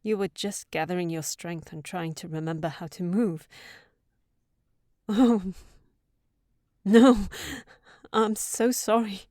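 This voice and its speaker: A sensible young fairytale housekeeper, acted by a woman, speaks slowly and earnestly in a fantasy audio roleplay. She sounds smitten and halting, close on a very dry microphone, with an ordinary mid-pitched female voice. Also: steady tone, darkish tone, no echo, lightly acted. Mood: flustered, apologetic. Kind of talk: intimate scripted audio roleplay.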